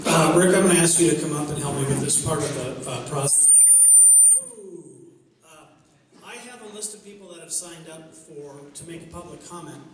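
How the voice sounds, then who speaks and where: A man's voice: on the high side, normal speed. A man moderating a public comment session, explaining the procedure and key points in an orderly way. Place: public meeting hall indoors. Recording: noisy echoing hall, from a distance.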